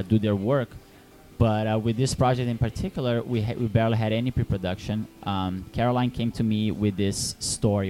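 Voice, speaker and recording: A man's voice: on the low side, dark tone, a little hiss or hum. A young Brazilian man with a Brazilian Portuguese accent, a film director answering interview questions, hesitant with many fillers and restarts.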